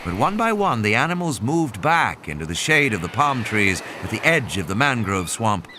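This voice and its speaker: A man reading a scripted dramatic audiobook in a measured, literary storytelling manner. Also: lowish voice, sing-song, noisy background. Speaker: audiobook narrator. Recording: constant sound-effect ambience behind voice. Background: music, sound effects and background ambience.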